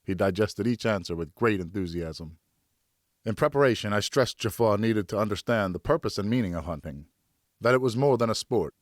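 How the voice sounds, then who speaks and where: A male audiobook narrator with a fairly deep voice. He tells a historical story in the first person, expressively and deliberately, in a very dry recording. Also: no echo. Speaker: audiobook narrator, professional voice actor.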